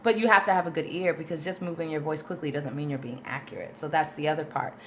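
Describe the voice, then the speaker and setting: A woman's voice: sits low, fast talker, slight background noise. A woman vocal coach in a casual livestream Q&A, reading viewer questions and answering them in a chatty, informal way, with patient step-by-step explanations. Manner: chatty and informal, with many fillers.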